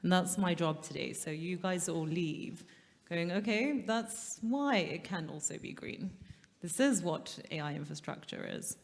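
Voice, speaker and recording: A woman's voice: fairly low pitch, measured pace, gravelly. Woman moderating in a reverberant hall. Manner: lively, chatty, rephrases for the audience. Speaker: panel moderator. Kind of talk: live panel moderating. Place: large conference hall, stage.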